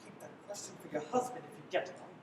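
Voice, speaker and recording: A woman's voice: expressive, quick pace, natural voice. A young woman playing a brash, sardonic man on the run in a live radio play, bossy and theatrical. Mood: exasperated, earnest. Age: teens. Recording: echoey hall from far off, faint rumble.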